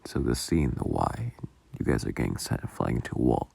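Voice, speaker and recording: A man's voice: extremely low pitch, very animated, moderate pace, vocal fry, mellow, slightly rough, no room sound. A man giving casual, rambling behind-the-scenes commentary in a self-deprecating, casually sweary way. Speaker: audio roleplay creator.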